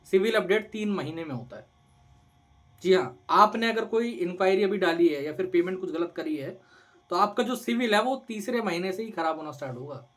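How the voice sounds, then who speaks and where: A man's voice: sits high, fairly bright tone. A man with a sore throat, explaining things informally and reassuringly, often asking and answering his own questions. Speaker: loan advice video host. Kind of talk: informal explainer to camera audience.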